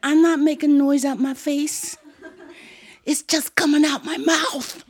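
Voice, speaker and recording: Lady doing a squirmy lil girl, high voice, excited n cheeky, noisy kid in a told story. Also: measured pace. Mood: protesting.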